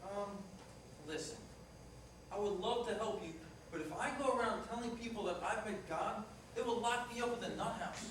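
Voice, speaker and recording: A skeptical, plainspoken cab driver, played by a man in a stage play, with a notably high voice for a man. He is heard from far off in a very echoey, noisy hall. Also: normal speed, close to an everyday voice. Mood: questioning, doubtful. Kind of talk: live staged play reading.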